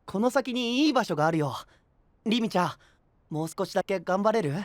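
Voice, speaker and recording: 海の国を守る若い青年を演じる男性声優。柔らかく内省的で、真摯な話し方。. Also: male voice, sits high, lively intonation, fairly quick, no room sound. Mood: caring. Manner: soft, introspective, earnest. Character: gentle young male prince of a sea kingdom. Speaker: voice actor. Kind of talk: anime voice drama.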